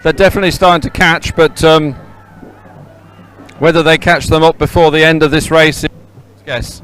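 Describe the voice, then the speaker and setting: A man giving live, unscripted sports commentary outdoors, halting often to repeat and correct himself. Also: fairly high pitch, level tone, a bit bright, a little hiss or hum, poor audio. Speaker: race commentator. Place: outdoors beside a race course.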